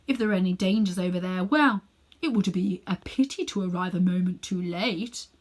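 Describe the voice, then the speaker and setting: A woman's voice: lowish voice, normal speed, darkish tone, natural voice. A woman voicing a pompous, cruel old uncle who dabbles in magic, condescending and smug, in a children's storybook reading. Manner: haughty, condescending, coolly smug.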